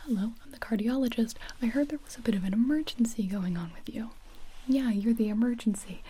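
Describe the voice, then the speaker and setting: A woman voicing a brusque female cardiologist in a comedic ASMR roleplay, whispering in a deadpan way. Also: on the high side, fairly quick, slightly rough.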